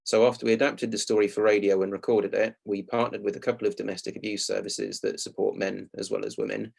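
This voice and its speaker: A man's voice: lowish voice, flat delivery, fast talker, creaky, a little mellow, slightly rough. A man giving a prepared talk as the presenter of a project. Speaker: speaker presenting a radio play project.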